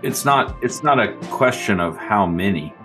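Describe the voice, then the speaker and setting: A man's voice: lowish voice, expressive, normal speed, music in the background. A man playing a tabletop game, bantering casually in an emphatic, mock-serious way.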